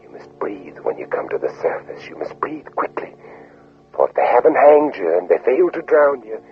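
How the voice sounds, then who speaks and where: Condemned planter: anguished, hushed radio-drama intensity, low voice, muffled vintage recording under swelling music. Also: male voice, very animated, moderate pace, exaggerated voice. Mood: urgent whispering. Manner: intense, hushed, dramatic, breathy whispered passages. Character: condemned Confederate planter narrating his desperate escape in anguish. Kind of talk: 1950s radio drama acting.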